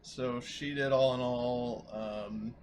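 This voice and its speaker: A man's voice: medium pitch, steady tone, slow. A man talking casually as a player in a tabletop roleplaying game. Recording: clearly noisy background.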